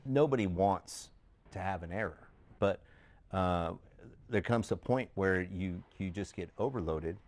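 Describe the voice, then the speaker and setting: A middle-aged American man with a very low voice answers interview questions off the cuff. His phrasing is conversational and a bit halting, with words repeated as he goes. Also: darkish tone. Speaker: former air traffic controller being interviewed.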